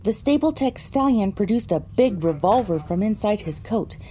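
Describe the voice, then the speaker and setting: A woman's voice: mid-range voice, lively intonation, normal speed, mellow, a little hiss or hum. A woman delivering scripted, first-person narration for an audio drama.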